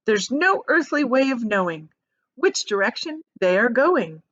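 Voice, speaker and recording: An eccentric, gleeful storybook chocolate maker played by a woman, with wildly swinging pitch. He is bossy and exclamatory, pitched up and theatrical, in a dry but slightly dull recording. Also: big pitch swings, measured pace, a bit bright, exaggerated voice. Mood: gleeful chanting. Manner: exclamatory, commanding, sing-song. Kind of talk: storybook character voice.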